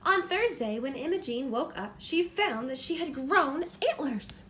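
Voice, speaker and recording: Female, very high voice, children's read-aloud teacher, simple prompting questions, some background noise. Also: lively intonation, measured pace, a bit bright. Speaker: teacher reading a picture book aloud to children.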